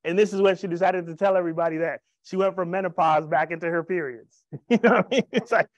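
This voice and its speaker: Man, thirties, high voice, upbeat joking presenter, handheld mic, dry room. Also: quick pace, dry recording, muffled recording. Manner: upbeat, joking, personal stories. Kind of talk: sales presentation to a live audience. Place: event room with a stage. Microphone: handheld microphone.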